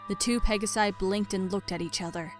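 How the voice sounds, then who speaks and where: A woman's voice: medium pitch, fairly even intonation, a little fast. A woman reading scripted story narration as the narrator. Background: music.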